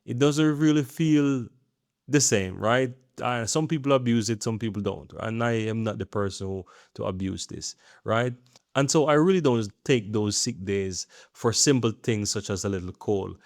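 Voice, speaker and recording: A man's voice: on the low side, moderate pace, no echo, studio quality. A man in his thirties talking earnestly in a rambling vlog, often checking in with "right?". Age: 30s. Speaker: YouTube creator. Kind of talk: casual talking-head vlog.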